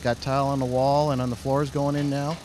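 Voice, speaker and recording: A middle-aged man with a medium-pitched voice gives plain, unpolished answers full of pauses and fillers. He talks into a handheld mic outdoors in a half-built stadium. Faint city noise sits behind him. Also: little pitch movement. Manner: plain-spoken, halting, with many fillers. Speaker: project manager. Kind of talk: casual on-site interview answers. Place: outdoors in an unfinished stadium under construction. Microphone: host's handheld microphone.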